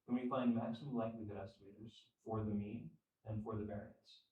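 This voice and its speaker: A young man teaching statistics at a classroom whiteboard, losing his voice and clearing his throat, repeating phrases and checking understanding. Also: on the low side, fairly even intonation, a little fast, muffled recording. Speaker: statistics instructor. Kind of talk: classroom lecture. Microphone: far camera. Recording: echoey room, from far off.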